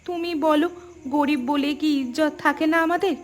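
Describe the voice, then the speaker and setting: A woman's voice: high voice, moderate pace, a little hiss or hum, natural voice. A woman voicing the worried, hurt wife of a poor fisherman in a cartoon, plaintive. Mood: aggrieved. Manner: aggrieved, anxious, plaintive. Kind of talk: scripted cartoon dialogue.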